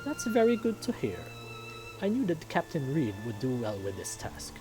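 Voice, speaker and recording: A man acts out a furry animal king from a visual novel, fatherly yet stern. The voice is lifted in pitch, formal and measured, with a commanding calm. Music plays clearly behind it. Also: low-quality recording. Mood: welcoming.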